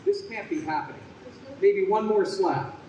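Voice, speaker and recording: Earnest physician, radio drama, high-pitched, very soft dark tone, dull roomy recording. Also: male voice, lively intonation, moderate pace, recorded from a distance. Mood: urgent worry. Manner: encouraging, dramatic. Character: earnest male doctor delivering a baby. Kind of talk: old-time radio play acting. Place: live performance in a convention room. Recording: dull echoey room recording, faint noise.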